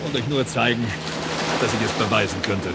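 An actor in a German radio drama playing a brazen, cocky blackmailer who was once a hired killer. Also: male voice, medium pitch, brisk, natural voice. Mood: smug, threatening. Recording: loud surf noise behind. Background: ocean waves on a beach.

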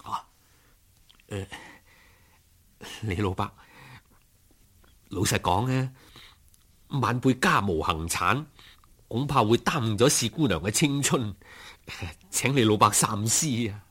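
Man playing a humble, slow-spoken young scholar. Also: fairly low pitch, expressive, a bit bright, slightly rough, pitched below the performer's own voice, heavily acted. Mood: hesitant, modest. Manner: formal, respectful, self-deprecating. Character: humble, earnest young male scholar, deferential to elders. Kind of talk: storybook character acting.

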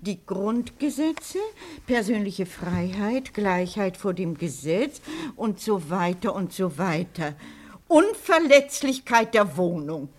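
Bossy, sharp-witted old woman. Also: mid-range voice, expressive, slow pace. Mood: lecturing, insistent. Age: older. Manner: precise, imperious, argumentative. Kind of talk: German radio play acting.

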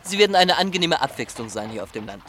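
An actor playing a flippant, chatty young aristocrat student, a governor's son, in a German radio drama. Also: male voice, a little high, big pitch swings, quick pace, fairly bright tone, a little hiss or hum. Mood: chatty, candid. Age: young adult. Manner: chatty, irreverent, theatrical.

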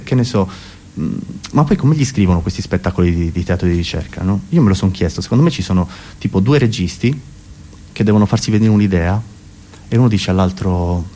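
A man's voice: fairly low pitch, darkish tone, slight background noise. A man delivering a comic philosophical monologue in a rambling, self-correcting, mock-academic manner. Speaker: comedian and monologuist.

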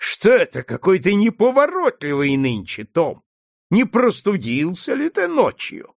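A man voicing a cruel, brutal male plantation master who shouts and mocks. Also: a little high, big pitch swings, normal speed, no room sound, studio quality, voice pushed up. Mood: mocking. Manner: harsh, shouting, mocking.

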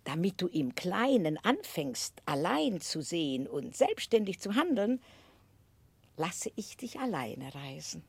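An actress playing a strict, eccentric older aunt in a 1960s German radio play, speaking emphatically and deliberately with a husky voice. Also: female voice, fairly low pitch, sing-song, no echo, exaggerated voice. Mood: earnest, resolute. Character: strict, eccentric, resolute older aunt, fond but blunt.

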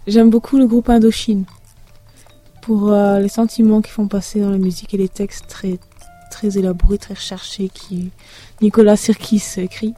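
A woman from Belgium who writes the lyrics for a duo, answering interview questions haltingly with many fillers. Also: mid-range voice, little pitch movement, dark tone, soft background music. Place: backstage after a concert.

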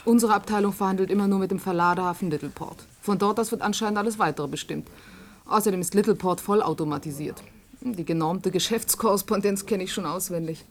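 An actress playing a wry, chatty married office clerk in a German science-fiction radio drama. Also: female voice, medium pitch, quick pace, lightly acted. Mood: matter-of-fact, friendly. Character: Mary, married dispatch clerk, mildly flustered and wry.

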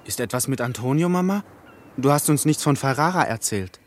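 A man's voice: medium pitch, expressive, brisk, a little hiss or hum, natural voice. A male actor playing a worried, loving young son in a classic radio drama. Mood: concerned.

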